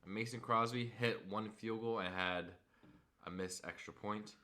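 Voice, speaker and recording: A hoarse young man, a frustrated fan, recapping a football game and reading off stats in a casual vlog. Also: fairly low pitch, fairly even intonation, measured pace, pushed and bright. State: hoarse from screaming.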